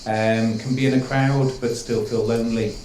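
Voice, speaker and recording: A man moderating a panel, speaking in a measured, reflective way with pauses and ums. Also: mid-range voice, fairly even intonation, darkish tone, echoey room, slight background noise, poor audio.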